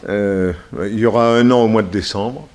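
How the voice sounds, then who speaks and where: Actor as excitable, trusting businessman. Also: fairly low pitch, moderate pace, natural voice. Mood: subdued. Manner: hesitant, exclamatory, protesting. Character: wealthy businessman father, excitable, trusting, stubbornly naive. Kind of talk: 1960s French radio mystery serial acting.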